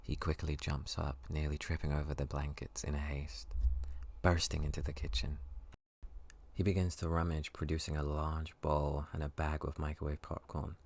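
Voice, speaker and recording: A man's voice: very deep voice, level tone, moderate pace, vocal fry, darkish tone. A man reading scripted second-person story narration for an ASMR roleplay.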